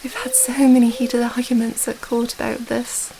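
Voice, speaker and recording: A woman playing an earnest, affectionate, slightly flustered young princess in an intimate ASMR romance roleplay, speaking softly and hesitantly, rambling gently. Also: fairly high pitch, completely flat delivery, normal speed, vocal fry, noisy background. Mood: mildly exasperated.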